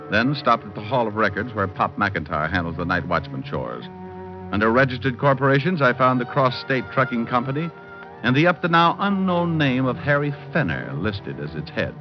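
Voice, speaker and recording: An actor playing a cool, wisecracking private detective, narrating with dry wit in hardboiled old-time radio style. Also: male voice, medium pitch, close to an everyday voice. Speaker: lead and narrator. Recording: dull old radio recording. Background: music.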